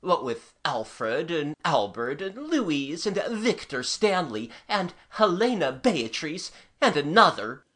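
A sour, sneering footman drawls his words slowly in a lowered voice, as a woman puts him on. His pitch rises and falls widely with his contempt, and his dialect is broad. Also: very animated, fairly bright tone, exaggerated voice. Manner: sneering, drawn-out dialect speech.

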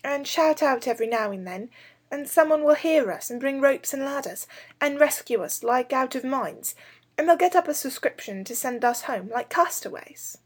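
Woman as an eager, high-voiced young boy. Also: animated, moderate pace, husky. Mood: resigned, hopeful.

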